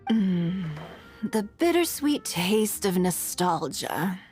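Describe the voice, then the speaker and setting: A woman's voice: medium pitch, very animated. Poised, dignified noblewoman. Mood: wistful. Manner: poised, measured, gently teasing. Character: dignified, shrewd, composed noblewoman leader. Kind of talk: video game voice acting. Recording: music behind the voice. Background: background music.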